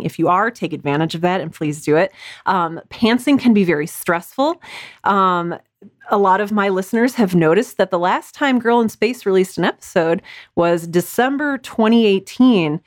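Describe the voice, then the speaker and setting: A woman's voice: lowish voice, no room sound. Woman podcaster in a dry, close recording. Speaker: interview guest, audio drama podcaster. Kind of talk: casual interview talk, sharing advice.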